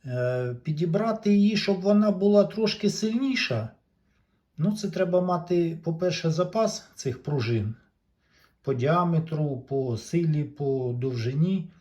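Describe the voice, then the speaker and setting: A man giving an informal, unscripted repair walkthrough as a hobbyist, explaining haltingly and pausing mid-sentence. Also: a little high, expressive, a little mellow. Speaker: repair hobbyist presenting a demonstration. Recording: dull lo-fi sound.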